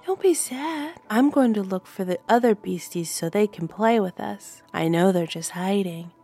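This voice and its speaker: A woman's voice: medium pitch, moderate pace, mellow, breathy edge, faint music behind, voice pushed up. A woman voicing a sweetly sinister little girl with magic, in a sing-song, coaxing, childish way. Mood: gleeful.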